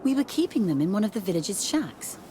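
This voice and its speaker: A woman playing a busy, formal religious scholar in charge, brisk and composed, in a video game. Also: medium pitch, very animated, dark tone, slight background noise, natural voice. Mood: matter-of-fact. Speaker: supervisor of theologians.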